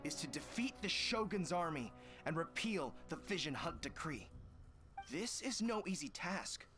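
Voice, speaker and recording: Dignified military commander, formal, authoritative, expressive intonation, faint game music. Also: male voice, a little high, a little slow, full character voice. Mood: grave. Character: composed, formal male army general, stern and dutiful.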